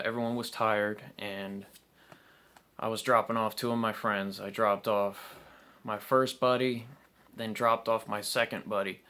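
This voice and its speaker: Young male vlogger, low voice, hesitant and frank, lots of pauses and restarts. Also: a bit bright. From South Carolina, United States. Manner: halting and candid, many ums and restarts. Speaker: vlogger telling his recovery story. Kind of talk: unscripted personal storytelling to camera.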